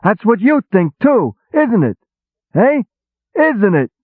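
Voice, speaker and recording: A man's voice: high voice, slow, dry recording, pitched above the performer's own voice, heavily acted. A man voicing a brash, sarcastic army boss who talks like a streetwise gangster. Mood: outraged. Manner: sarcastic, excitable, shouting, streetwise gangster talk. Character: loud brash middle-aged male army boss. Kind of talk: audiobook character voice. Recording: tinny phone-like sound.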